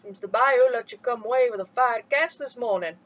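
A woman reading a storybook aloud, voicing a calm, wise old man with an easy manner. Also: fairly high pitch, a little fast. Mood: amused.

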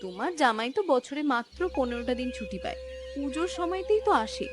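Woman acting a high, lively Bengali married daughter. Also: a little fast. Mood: affectionate. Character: dutiful married daughter, young woman, affectionate with her father. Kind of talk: Bengali cartoon story voice acting. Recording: clear music behind the voice.

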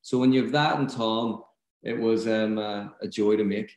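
A man's voice: on the low side, steady tone, normal speed, darkish tone. A man in his thirties with a Belfast accent, a film director speaking thoughtfully and earnestly over a video call. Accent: Northern Irish, Belfast. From Northern Ireland. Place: room at home. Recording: echoey room.

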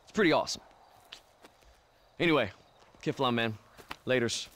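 An actor playing a vain, laid-back celebrity cult devotee in a video game, breezy and self-satisfied bro talk. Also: male voice, mid-range voice, lively intonation, moderate pace, a bit bright, slight background noise, lightly acted. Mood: breezy, gleeful. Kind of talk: video game cutscene acting.

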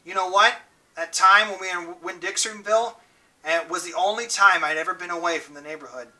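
A man reading aloud and voicing a gentle, scared sixteen-year-old boy who is badly hurt and speaks weakly, haltingly. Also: high voice, fairly even intonation, far from the mic, rough recording. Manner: weak, quiet and halting.

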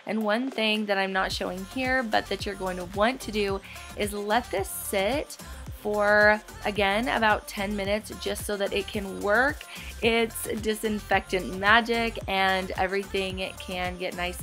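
A chatty woman in her thirties narrates a home cleaning video in a bubbly, friendly way. Her pitch sits in an ordinary middle range. Faint music plays softly under her voice. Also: steady tone, a little slow. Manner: bubbly, chatty, with light laughs. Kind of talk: voice-over cleaning tutorial. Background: soft background music.

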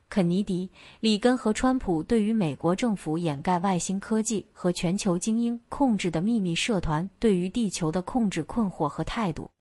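A woman's voice: mid-range voice, a little mellow, no room sound. A woman reading scripted narration for a documentary.

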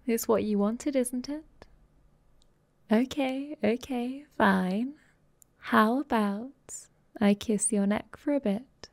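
A woman's voice: fairly high pitch, slow pace, no room sound. A woman playing a playfully dominant, affectionate vampire girlfriend in an intimate ASMR roleplay, cooing and teasing, often dropping toward a whisper. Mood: smug, affectionate.

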